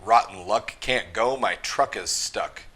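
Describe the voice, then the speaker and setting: A man with a fairly low voice reads a children's story aloud. His delivery is rhythmic and emphatic, leaning into the rhymes as a storyteller for young listeners. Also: takes it slowly, a bit bright, breathy edge. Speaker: school mascot reading a picture book to children.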